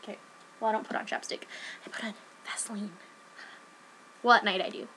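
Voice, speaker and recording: A woman's voice: medium pitch, steady tone, a little fast, bright, forceful tone, whispered, low-quality recording. A teenage girl rambling hyperactively in a casual home webcam vlog, sniffly from a cold and jumping between topics. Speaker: vlogger.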